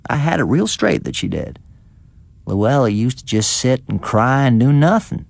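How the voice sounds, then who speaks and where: A man's voice: medium pitch, sing-song, moderate pace, gravelly, no echo, voice pushed up. Man voicing folksy, gossipy old village woman. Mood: indignant. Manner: folksy, rustic, gossipy dialect. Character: elderly unmarried village woman gossiping indignantly about neighbours. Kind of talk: dramatised short-story reading.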